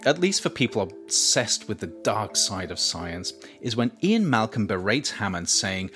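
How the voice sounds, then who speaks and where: A man reads his own nonfiction book with a somewhat low voice that rises and falls a great deal. His delivery is measured and reflective, and he leans hard on key words. This is scripted narration. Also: big pitch swings, fairly bright tone, faint music behind. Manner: measured, reflective reading with pointed emphasis.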